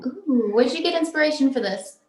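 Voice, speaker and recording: A woman's voice: sits high, animated, brisk, roomy sound. A young woman co-hosting a video call interview, speaking casually and encouragingly, with a lot of "like" in her sentences. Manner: encouraging, says "like" often. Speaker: co-host. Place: home room.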